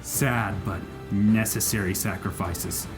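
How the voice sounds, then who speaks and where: A man's voice: on the low side, fairly even intonation, slow pace, soft background music. A man playing a cold, ruthless ranger hunter who speaks sternly in a fan audio drama.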